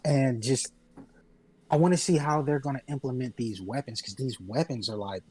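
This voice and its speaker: Mid-pitched man chatting casually. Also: normal speed, darkish tone, slightly rough. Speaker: podcast co-host. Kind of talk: casual chat about video games.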